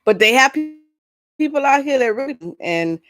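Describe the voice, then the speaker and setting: A woman hosting a vlog, giving casual, opinionated entertainment commentary in a halting, rambling, blunt way with frequent asides. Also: high-pitched, lively intonation, fairly bright tone. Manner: blunt, incredulous rhetorical questions. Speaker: vlog host.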